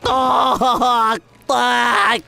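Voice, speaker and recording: Shrill, creaky young thug. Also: male voice, level tone, extremely slow, sharp tone, exaggerated voice. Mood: panicked, pleading. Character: spoiled, vicious young criminal brothers screaming and begging. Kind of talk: manga dub acting.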